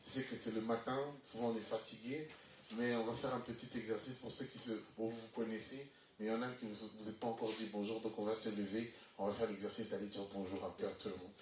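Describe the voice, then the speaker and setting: An energetic man, addressing a church congregation as a guest ministry speaker. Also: fairly low pitch, fast, a little hiss or hum. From Belgium. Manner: energetic, upbeat. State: has a cold, sniffly. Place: church hall. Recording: echoey hall from far off.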